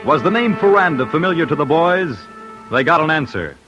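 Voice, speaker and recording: Animated old-time radio crime narrator, male. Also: on the high side, a little fast, dull audio. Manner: punchy, clipped, suspenseful. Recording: old radio broadcast with music bed.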